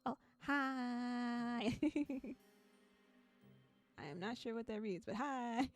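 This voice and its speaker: A young adult woman streaming in casual chat, with a quiet but clear voice, laughing often between her sentences. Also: a little high, drawn-out words, faint music behind. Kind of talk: casual chat and reading a visual novel aloud.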